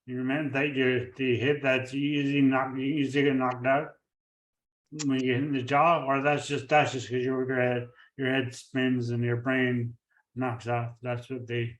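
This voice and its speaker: A man hosting an interview podcast, talking in a halting way with many fillers and restarts. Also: medium pitch, little pitch movement. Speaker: podcast host. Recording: echoey room, far from the mic.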